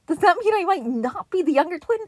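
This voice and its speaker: A woman voices a brash teenage turtle boy, loud and whiny, forever protesting. The voice is pushed up high and bright, dry and close to the mic. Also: lively intonation, fairly quick, no room sound. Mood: indignant.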